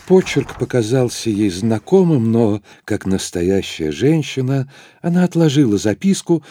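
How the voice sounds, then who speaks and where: A man narrating a literary audio drama in a measured storytelling manner. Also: mid-range voice, dark tone, dry recording, clean studio sound.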